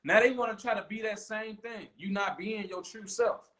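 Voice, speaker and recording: A man's voice: high-pitched, moderate pace, a bit bright. A man talking casually and emphatically about spirituality, often tagging on "you know what I'm saying.".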